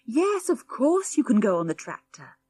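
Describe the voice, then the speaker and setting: A woman's voice: fairly high pitch, very animated, normal speed, a little mellow, breathy edge, voice pushed up. A woman voicing a kindly, bustling farmer's wife and mother in a storybook, with a soothing, motherly manner. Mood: welcoming.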